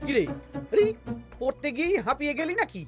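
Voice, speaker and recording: A woman's voice: high voice, very animated, quick pace, soft background music. A woman voicing a pompous, greedy, bossy male royal minister in an exaggerated cartoon style. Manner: bossy, repeats words.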